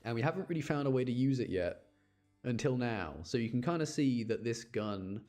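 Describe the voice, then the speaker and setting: A man's voice: medium pitch, animated, fairly quick, warm tone, faint music behind. A man giving casual live gameplay commentary as a streamer, chatty and explanatory. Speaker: game streamer.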